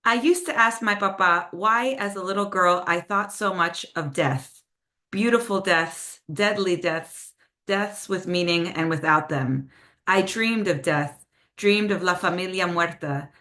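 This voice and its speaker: A woman with a slightly low voice, whose first language is Spanish, reads her poems over a video call. Between poems she talks loosely and hesitantly, full of "um" and "like." In the poems she slows down and drops in Spanish words. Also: sharp tone. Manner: slower, measured delivery with Spanish phrases when reading poems. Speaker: poet reading her own work. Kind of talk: poetry reading with casual spoken introductions.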